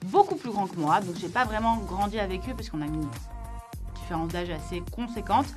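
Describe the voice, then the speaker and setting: A woman's voice: mid-range voice, expressive, a little fast. A woman of 31 from Morocco and southern France, chatting casually in a slangy, teasing way as an interviewee. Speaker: interviewee in a couple's question game. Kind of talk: casual chat. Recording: music bed behind the voice.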